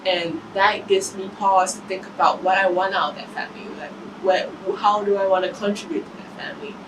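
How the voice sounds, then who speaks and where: A woman's voice: mid-range voice, fairly even intonation, a little fast, far from the mic. A woman in her early twenties talking through a reflective personal vlog, thoughtful and self-correcting, with some casual swearing. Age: 20s. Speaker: vlogger. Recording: voice a bit off-mic with room noise.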